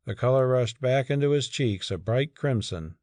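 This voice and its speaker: A man's voice: mid-range voice, expressive, moderate pace, a little mellow, dry recording, studio quality. A man narrating a dramatic audiobook from a script.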